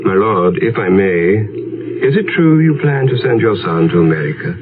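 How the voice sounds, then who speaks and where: An actor playing a grave, respectful village doctor in a radio drama, speaking in a measured, solemn way. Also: male voice, on the low side, sing-song, background noise. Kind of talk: period radio drama acting. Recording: narrow, tinny radio recording under loud music.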